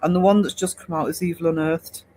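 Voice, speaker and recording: Chatty man with a high voice. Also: steady tone, fast talker, darkish tone. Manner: chatty, rambling, many fillers and restarts. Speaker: art livestream host. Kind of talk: casual chat reading live comments.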